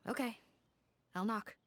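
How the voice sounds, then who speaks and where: A woman playing a serious female police officer in command in an anime game, measured and businesslike. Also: natural voice. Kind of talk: English-dubbed anime game voice acting.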